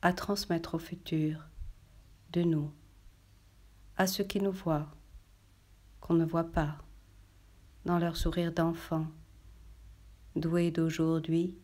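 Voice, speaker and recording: A woman poet reading poetry aloud in a measured, solemn literary style, with deliberate, short, separated phrases. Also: low-pitched, flat delivery, a little mellow.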